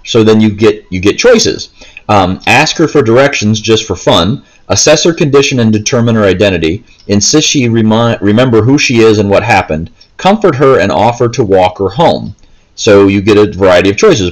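A man's voice: fairly low pitch, normal speed, low-quality recording. A man giving casual gameplay commentary, explaining step by step in a rambling way. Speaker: gameplay video host. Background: faint game music.

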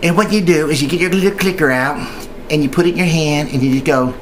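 A man's voice: a little high, fast, a little hiss or hum, low-quality recording. A man speaking as a union member in an informal training video, chatty and hesitant, rambling along and often repeating himself. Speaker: union member giving tips.

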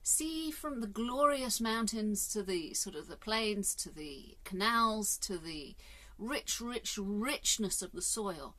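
A woman's voice: medium pitch, lively intonation, takes it slowly, few pauses, a bit bright, poor audio. Earnest, musing middle-aged woman. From England. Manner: earnest, musing, with hesitant fillers. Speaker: vlogger. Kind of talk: casual reflective monologue. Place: inside a caravan. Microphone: phone camera at arm's length.

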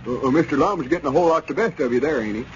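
A man's voice: a little high, animated, racing, lightly acted. A man playing a simple, eager young store helper in a vintage radio comedy, guileless and folksy, with a rural Southern accent. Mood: observant. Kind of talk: 1930s-40s radio comedy acting. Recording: muffled, noisy vintage radio recording.

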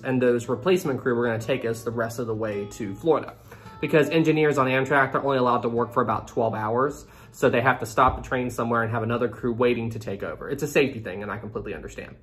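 A young man in his twenties recounts a trip straight to camera in a lively, conversational way, talking to his viewers directly. He sits in a small room with a desk webcam in front of him. Soft guitar music plays behind him. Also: medium pitch, fast talker. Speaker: travel vlogger. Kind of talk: storytelling to camera.

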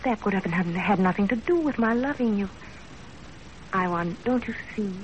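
An actress playing a dutiful young Japanese wife in a 1930s radio drama, plain-spoken and firm. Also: female voice, medium pitch, expressive, moderate pace, dull audio, lightly acted. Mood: firm, devoted. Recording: noisy 1930s radio broadcast.

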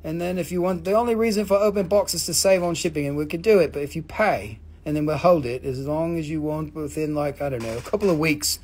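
A man hosting a live rock sale, joking, swearing and rambling in fast auction patter. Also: a little high, darkish tone. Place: mineral shop indoors.

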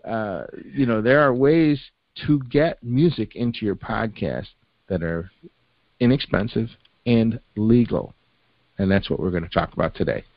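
An older man with a lowish voice hosts a podcast, talking loosely and filling gaps with "uh" and "you know". The recording is close and dry with a dull, slightly muffled top. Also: normal speed, no echo. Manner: relaxed, full of fillers like "you know" and "uh". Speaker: podcast host. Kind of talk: casual chat.

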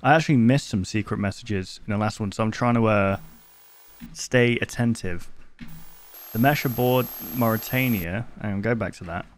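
A man's voice: on the low side, moderate pace, a little hiss or hum. A man in his twenties giving casual reaction commentary as a YouTube host, close to a desk microphone in a bedroom. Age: 20s. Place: bedroom, indoors.

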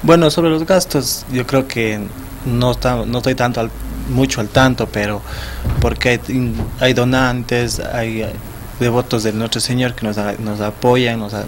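A young man with an Ecuadorian Spanish accent answering questions in a small TV studio, talking haltingly with many restarts and repeated words. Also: medium pitch, fairly even intonation, noisy background. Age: young adult. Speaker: festival sponsor guest. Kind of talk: studio interview. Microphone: desk mic on stand.

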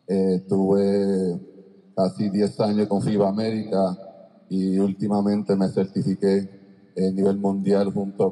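A man's voice: low-pitched, mellow. A man, a basketball coach from Ohio, lecturing at a seminar over a microphone in a large indoor arena. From Cleveland, Ohio, United States. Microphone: handheld microphone through a PA, far camera. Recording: long echo of a large gymnasium.

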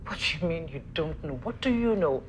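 A commanding older mother-in-law fires off sharp, pointed questions. Her voice sits very low, and its pitch rises and falls widely. Faint background noise runs under the film audio. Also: expressive, fairly quick. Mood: demanding. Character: stern, demanding older Nigerian mother-in-law. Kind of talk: film drama acting.

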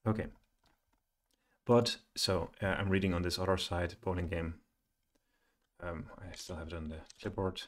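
A middle-aged man with a low-pitched voice presents a programming screencast. He thinks aloud in a halting way, often muttering to himself as he works at his computer. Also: fairly even intonation. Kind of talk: thinking aloud while coding. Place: small room indoors at home, at a computer. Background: keyboard typing and clicking.

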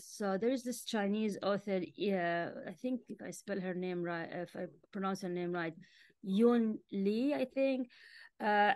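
A woman in her forties from Jordan, a native Arabic speaker, talking casually as a guest on a video call and often clearing her throat. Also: medium pitch, no room sound. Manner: frequent throat clearing and fillers. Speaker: interview guest, author. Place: home room with bookshelves, indoors. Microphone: wireless earbuds on a video call.

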